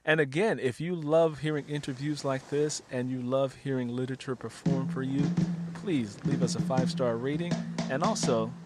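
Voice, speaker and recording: A man's voice: medium pitch, moderate pace, loud music behind the voice. A man hosting a conversational literary interview podcast, chatty and wordy, repeating phrases for emphasis.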